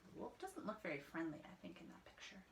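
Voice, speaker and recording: A woman reading a picture book aloud as a teacher, expressive and explaining in simple words for children. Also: mid-range voice, fast talker, creaky, a little hiss or hum.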